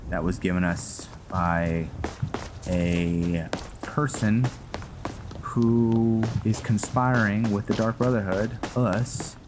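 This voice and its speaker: A man giving casual, rambling gameplay commentary, halting often as he thinks aloud. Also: on the low side, steady tone, background noise. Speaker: gaming video host. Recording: music behind the voice. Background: game music.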